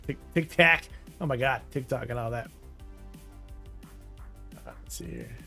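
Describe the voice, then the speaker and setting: A man's voice: mid-range voice, wildly swooping pitch, measured pace, creaky, sharp tone, soft background music. A man in his thirties, a streamer in casual chat while gaming, laid-back, laughing often and trailing off mid-sentence.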